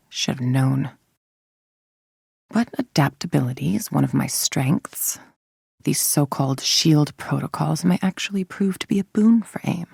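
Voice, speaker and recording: Husky, commanding female game villain. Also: sits low, darkish tone, no room sound, studio quality, exaggerated voice. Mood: calculating. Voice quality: husky, breathy, creaky. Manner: measured, imperious, formal, menacing. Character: cold, calculating adult female villain scientist-executive, arrogant and commanding. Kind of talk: scripted dramatic video game monologue.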